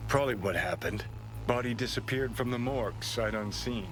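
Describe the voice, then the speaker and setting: A man's voice: mid-range voice, moderate pace, background noise, lightly acted. A man playing a sardonic, world-weary streetwise character in a video game, muttering slangy remarks. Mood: cynical. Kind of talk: cinematic video game acting.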